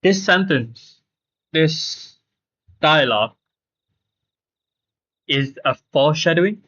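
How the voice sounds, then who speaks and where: Male streamer, fairly high voice, casual live game commentary, repetitive emphatic explanations, slightly muffled lo-fi mic. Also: animated, takes it slowly, fairly bright tone.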